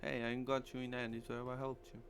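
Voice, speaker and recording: A man's voice: medium pitch, monotone, quick pace, lightly acted. A man playing a casual, loyal, defensive streetwise character in a visual novel. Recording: clearly noisy stream with hum.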